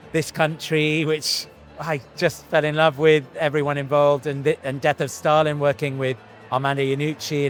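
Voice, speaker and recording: Casting note: man in his fifties, slightly high voice, earnest and reflective, interview answers over faint music. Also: a bit bright. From Iran, raised in Britain. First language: Farsi. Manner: thoughtful, earnest, with frequent restarts and "you know". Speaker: actor.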